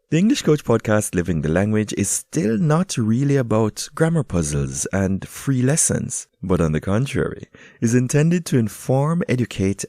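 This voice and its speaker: Deep, lively man hosting. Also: dry recording, studio quality. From Jamaica. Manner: lively, playful, switches between English and German. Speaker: podcast host and English teacher. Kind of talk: casual chat with a student, then scripted podcast intro.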